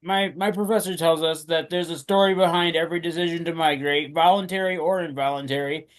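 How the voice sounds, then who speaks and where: A man interviewing a guest, asking questions haltingly and repeating words often. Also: fairly high pitch, fairly even intonation. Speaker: student interviewer.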